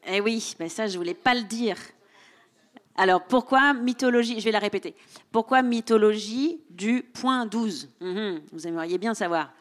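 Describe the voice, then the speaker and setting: A woman's voice: mid-range voice, moderate pace. Woman, moderator, live book talk, playful, teasing, laughs often. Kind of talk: hosting a live public discussion. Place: public event room with audience.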